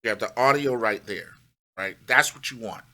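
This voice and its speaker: A man's voice: on the low side, moderate pace, sharp tone. A middle-aged man giving a casual, step-by-step music production tutorial, emphatic and repeating his key phrases.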